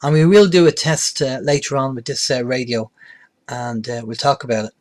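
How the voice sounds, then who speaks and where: A man's voice: medium pitch, fairly even intonation, normal speed. A middle-aged man presenting an informal, step-by-step beginners' tutorial in a plain-spoken, explanatory way.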